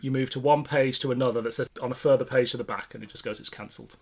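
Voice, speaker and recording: A man's voice: mid-range voice, steady tone, rapid-fire, gravelly. A British man co-hosting a podcast in a casual, conversational chat style. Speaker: podcast co-host. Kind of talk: casual chat about classic television sci-fi.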